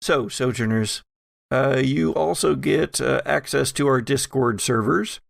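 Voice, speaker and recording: A man hosting and game-mastering a tabletop podcast, talking in a measured way with a storyteller's flair. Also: medium pitch, gravelly, dry recording, studio quality. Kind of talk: casual chat and narrated game recap.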